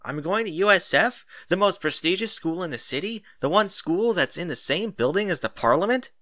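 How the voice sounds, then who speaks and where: A man voicing a brash, excitable young boy recruit who fires off eager questions and indignant outbursts in an audiobook story. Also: high voice, a little fast, no room sound, studio quality, pitched above the performer's own voice. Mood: indignant, incredulous.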